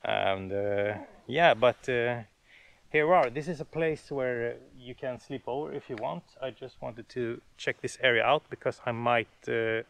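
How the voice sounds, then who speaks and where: Man in his thirties, outdoor vlogger, mid-pitched and easygoing, lots of filler words, camera at arm's length. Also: a little slow, vocal fry. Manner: relaxed, hesitant with many fillers. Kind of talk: casual outdoor vlog. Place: outdoors in a forest by a lake. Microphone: camera held at arm's length.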